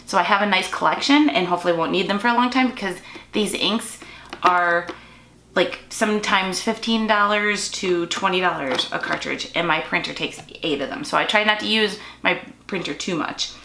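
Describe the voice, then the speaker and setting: A woman's voice: fairly low pitch, moderate pace, gravelly. A woman in her forties hosting a craft channel, chatting casually as she shows what is in her drawers and correcting herself as she goes. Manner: chatty, self-correcting, relaxed. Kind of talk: casual show-and-tell narration. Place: home craft room, indoors. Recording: bright echoey room with faint noise.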